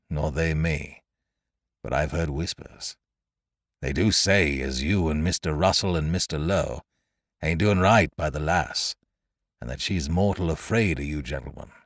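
A man voicing a gruff old boatswain who talks in a rough rustic seafaring dialect. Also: rumbling low, a little slow, no echo, studio quality, heavily acted. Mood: wary, confiding.

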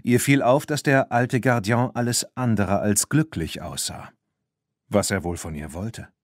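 A man narrating a children's story from a script in an expressive, animated way. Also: lowish voice, a little slow, no room sound, clean studio sound. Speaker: audiobook narrator.

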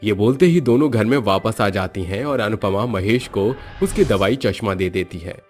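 Lowish-voiced man narrating a story. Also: normal speed, a little mellow, soft background music.

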